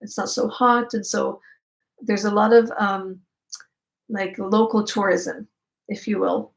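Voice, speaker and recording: Israeli woman chatting live, gravelly voice. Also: mid-range voice, level tone, slow pace. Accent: Israeli Hebrew. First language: Hebrew. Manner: chatty, reads out viewer comments, many fillers. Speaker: livestream host answering viewer questions. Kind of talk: casual live chat.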